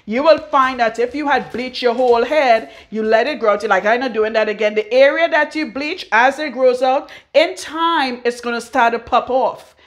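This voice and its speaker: Trinidadian woman, middle-aged, fairly high voice, blunt and forceful, salon tutorial. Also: normal speed, hardly any pauses. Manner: blunt, forceful, frank, swears freely. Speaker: loctician. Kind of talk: casual hair-care tutorial.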